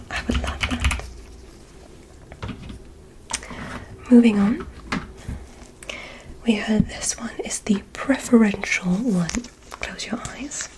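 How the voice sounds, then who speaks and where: A woman playing a gentle, curious alien examiner in a whispered ASMR roleplay, giving soothing, encouraging instructions. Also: medium pitch, close to an everyday voice, low-quality recording. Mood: soft, curious. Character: gentle, curious female alien examiner visiting from another planet.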